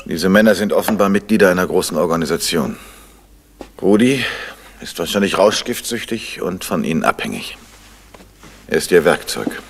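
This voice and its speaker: An actor playing a composed, worried middle-aged gentleman sleuth in a crime radio play, speaking with controlled insistence. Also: low voice, lively intonation, moderate pace, pauses often, a little hiss or hum. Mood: brisk, serious. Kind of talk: 1970s German crime radio play acting.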